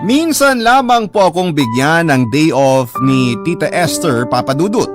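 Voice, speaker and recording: A man's voice: a little high, lively intonation, measured pace. A man reading a listener's heartfelt letter aloud as earnest, polite scripted narration. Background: background music.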